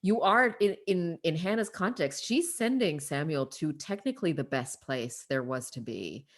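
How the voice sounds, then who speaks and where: A woman's voice: sits low, lively intonation, moderate pace, a crowd in the background. A woman guest in a casual, reflective Bible discussion, thinking aloud in a candid, thoughtful way.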